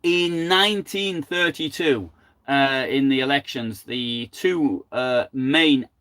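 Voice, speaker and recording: A man's voice: mid-range voice, slow, bright, forceful tone, clear, resonant tone. An older man giving a live, unscripted history talk from home, thinking aloud with many fillers and restarts. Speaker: history presenter.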